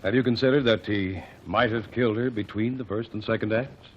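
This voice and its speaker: Guy as a cool methodical courtroom sleuth, lowish voice, formal, old muffled radio w/ faint hiss. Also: fairly even intonation, close to an everyday voice. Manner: measured, formal, precise diction. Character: calm, methodical male courtroom lawyer-detective. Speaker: amicus curiae lead. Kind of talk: 1940s radio acting.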